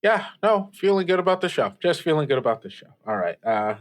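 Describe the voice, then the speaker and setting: A man's voice: fairly high pitch, very animated, brisk. A man playing a sincere, sentimental radio show host who talks to faithful listeners in an earnest, hesitant way. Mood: grateful, sincere.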